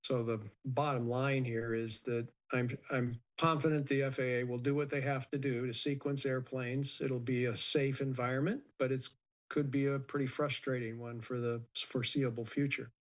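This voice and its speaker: An American man with a mid-pitched voice gives expert commentary in an interview. He speaks off the cuff, explaining things in a measured, matter-of-fact manner. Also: flat delivery.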